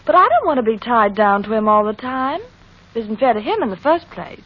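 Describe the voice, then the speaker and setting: A woman's voice: on the high side, sing-song, fairly quick. An actress playing a restless, self-centered wife and reluctant mother in an old-time radio drama. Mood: peevish, defensive. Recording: muffled old radio recording.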